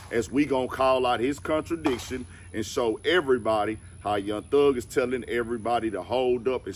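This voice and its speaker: A man's voice: medium pitch, slight background noise. An American man hosting casual online gossip commentary, speaking in an emphatic, slangy way.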